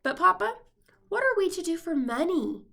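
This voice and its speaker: A woman voicing a practical, fretful wife and mother in a storybook, with an earnest, expressive delivery. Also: very high voice, moderate pace, vocal fry, pitched above the performer's own voice, heavily acted. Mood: worried.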